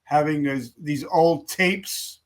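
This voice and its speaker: A man's voice: fairly high pitch, slow pace. A man in his fifties hosting an interview, eager and stumbling over repeated words as he asks questions. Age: 50s. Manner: enthusiastic, repeats words when starting. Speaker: podcast host. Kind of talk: interview host.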